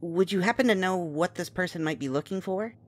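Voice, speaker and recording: A man's voice: high-pitched, fairly quick, lightly acted. A man playing a scholarly, cautious young magic expert, polite, wordy and a little exasperated, in an urban fantasy audio drama. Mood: earnest. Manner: wordy, polite, stammers when nervous.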